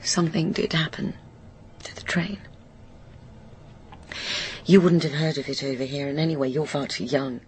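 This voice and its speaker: A woman's voice: low-pitched, fairly even intonation, normal speed, long pauses, a little mellow, slight background noise, close to an everyday voice. A woman playing a haunted, wistful older woman burdened by guilt, speaking hesitantly and reflectively in a radio drama. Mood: wistful, subdued. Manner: hesitant, reflective, breaking into near whispers. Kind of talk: naturalistic radio drama acting.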